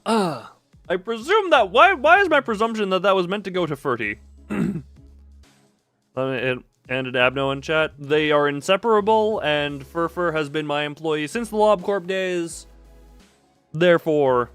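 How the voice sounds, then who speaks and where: Rambling man streamer with lively, higher voice. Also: a little slow, no room sound, soft background music. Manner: rambling, joking, talks to chat. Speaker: game livestreamer. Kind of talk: casual livestream chat and commentary.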